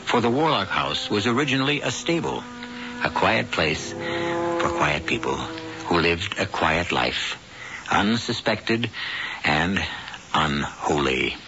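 An actor as the brooding narrator of a supernatural mystery, giving measured, dramatic scripted narration for old-time radio. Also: male voice, low voice, big pitch swings, noisy background, full character voice. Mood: ominous. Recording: muffled old radio recording.

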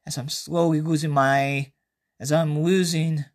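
A man's voice: fairly high pitch, flat delivery, a little slow. A man reading his own short horror story aloud as a dramatic first-person narrator.

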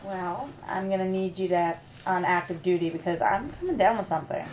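A woman playing a brisk, sardonic wife and mom at a sitcom table read, dry and commanding. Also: fairly low pitch, darkish tone, slight background noise, natural voice.

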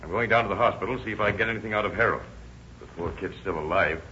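An actor playing a determined motor cop in an American 1930s radio crime drama. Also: male voice, on the low side, very fast, natural voice. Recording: noisy, dull old radio recording.

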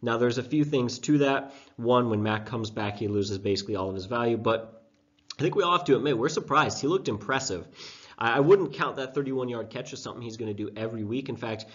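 A man's voice: mid-range voice, fairly quick. A young man hosting a fantasy football show, sick with a sore throat and stuffed-up nose, talking in a chatty, self-deprecating way with loose fillers. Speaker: fantasy football podcast host. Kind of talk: casual breakdown talk. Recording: dull lo-fi sound.

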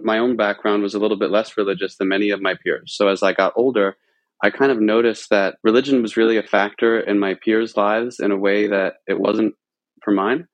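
A man's voice: fairly low pitch, dry recording, highs cut off. An American man from central Pennsylvania answering as a podcast guest, talking informally and at length, often correcting himself as he goes. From central Pennsylvania, United States. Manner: informal, discursive, self-correcting. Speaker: podcast guest, religion researcher. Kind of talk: conversational interview answers.

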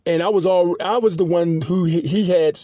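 A man hosting a podcast, telling a long personal story in a halting, rambling monologue full of restarts and fillers. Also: high voice, dark tone, no room sound, clean studio sound. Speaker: podcast host.

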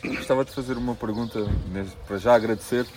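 A man with a European Portuguese accent co-hosting an interview, asking halting questions and agreeing with frequent tag questions. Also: mid-range voice, fairly even intonation, noisy background. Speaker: co-host interviewer.

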